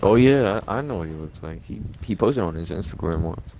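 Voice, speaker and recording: A man's voice: deep voice, animated, fairly quick. A young man in casual gaming party chat, teasing and playfully quizzing a friend. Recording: dull lo-fi chat mic with noise.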